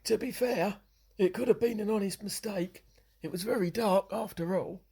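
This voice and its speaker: An amateur actor playing a mild, gently reasoning elderly passenger in a radio play. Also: mid-range voice, normal speed, breathy edge. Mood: mild, insinuating. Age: older. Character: mild elderly passenger, fair-minded busybody.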